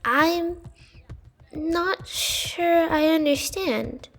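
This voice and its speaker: Woman doing a young servant girl in fantasy roleplay, really high voice, slow and hesitant, loyal, careful, super polite. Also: a bit bright, soft background music, heavily acted. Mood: puzzled, alert. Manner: hesitant, deferential, earnest. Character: young, loyal, cautious girl serving the queen. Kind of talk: fantasy audio roleplay acting.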